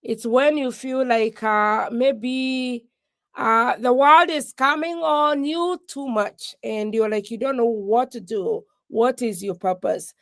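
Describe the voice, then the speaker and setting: A woman from Kenya giving a slow, halting testimony of faith on a video call, pausing often as she thanks God. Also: a little high, fairly bright tone, no room sound. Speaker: guest speaker.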